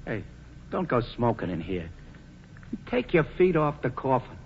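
A man's voice: mid-range voice, very animated, a little slow. An actor playing an irritable family man with an American accent in a 1940s radio drama. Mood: testy. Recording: muffled, noisy old radio broadcast.

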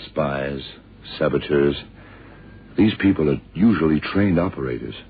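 A world-weary detective with a rumbling, gravelly bass voice. He muses deliberately in terse slang, shrewd and unhurried. It sounds like a tinny, muffled radio thriller from decades ago. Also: lively intonation, heavily acted. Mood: insistent, pondering. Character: shrewd, world-weary male American detective thinking aloud. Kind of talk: 1960s-70s radio drama acting.

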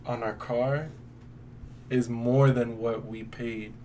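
A man's voice: mid-range voice, steady tone, slow, mellow. A man chatting casually as a podcast co-host, speaking in an informal, emphatic, colloquial way. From New York City. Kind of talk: casual conversational podcast chat. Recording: distant mic, faint background noise.

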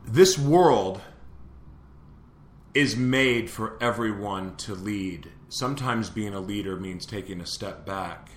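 A man's voice: on the low side, slow pace, roomy sound. A man hosting a live motivational show, preaching to his listeners and asking them the same question again and again. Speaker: show host.

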